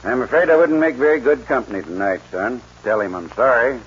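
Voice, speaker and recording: An actor playing a worried, kindly, honest rancher with a folksy drawl in a 1940s radio western. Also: male voice, mid-range voice, a little fast, natural voice. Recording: dull 1940s radio recording, faint noise.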